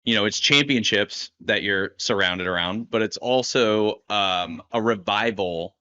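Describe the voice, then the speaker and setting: Clear, bright-voiced man hosting sports chat. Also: on the low side, measured pace, no echo, lo-fi sound. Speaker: podcast host interviewing a guest. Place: podcast recorded live at a saloon.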